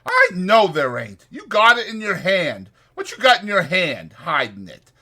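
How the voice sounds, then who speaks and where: Man voicing short-tempered rustic minder, sharp bright tone, scolding, wildly animated delivery, audiobook drama. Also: high-pitched, measured pace, pitched above the performer's own voice, heavily acted. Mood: exasperated, scolding. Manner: exasperated, scolding, rustic ungrammatical speech.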